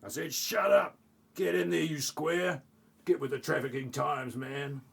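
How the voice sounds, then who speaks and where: Guy doing a mean trafficker thug for an audio drama, super gravelly and raspy, barking orders, bit off mic. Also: medium pitch, steady tone, a little slow, distant mic, pitched above the performer's own voice. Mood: angry, barking. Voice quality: gravelly, rough. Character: bullying male human trafficker gangster, aggressive and barking.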